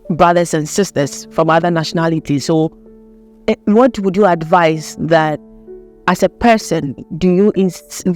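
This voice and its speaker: A woman hosting a casual interview chat. She talks in a lively way, laughs often and asks long, rambling questions. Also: lowish voice. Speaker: host.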